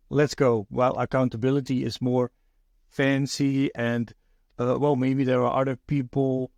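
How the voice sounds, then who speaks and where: A man's voice: medium pitch, darkish tone, no echo, studio quality. A man from the Netherlands with a Dutch accent, hosting his own podcast in a thoughtful, hesitant way with many fillers and restarts. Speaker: podcast host. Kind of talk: solo podcast monologue.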